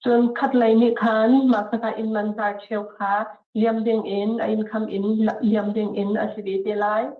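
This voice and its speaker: A woman's voice: a little high, level tone, normal speed, hardly any pauses. Woman interpreter on a tinny echoey phone line. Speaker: court interpreter. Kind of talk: interpreting court orders. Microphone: phone call.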